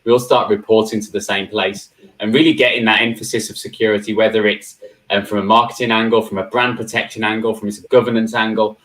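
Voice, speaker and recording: A man's voice: lowish voice, flat delivery, quick pace, a bit bright. A man hosting a live cybersecurity roundtable stream, chatty and full of fillers and restarts. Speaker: host.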